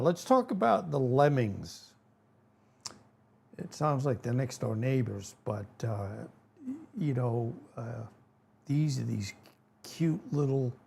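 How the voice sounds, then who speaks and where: A man's voice: medium pitch, vocal fry, a little mellow, husky. A man in his seventies with a Rhode Island accent, casually explaining animal myths in a folksy, rambling way with many fillers. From New England. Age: 70s. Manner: rambling, folksy, frequent fillers and self-corrections. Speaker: channel host. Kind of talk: casual educational talk to camera.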